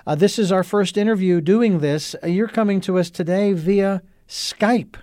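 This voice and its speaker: Male talk-radio host, slightly high-pitched, earnest and rambling, close dry mic. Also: normal speed, darkish tone, no echo. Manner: rambling, many ums and uhs, earnest. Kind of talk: casual talk radio chat.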